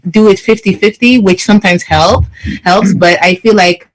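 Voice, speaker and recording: Male panelist, high voice, lively, chatty, does little impressions. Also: moderate pace, rough recording. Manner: lively storyteller, mimics his parents. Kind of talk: casual discussion.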